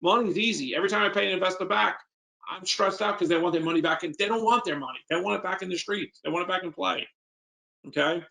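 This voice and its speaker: A man's voice: sits high, fast talker, gravelly, pushed and bright, rough recording. A man with a New York accent, an investor speaking informally as a guest and answering questions in an emphatic, plain-spoken way.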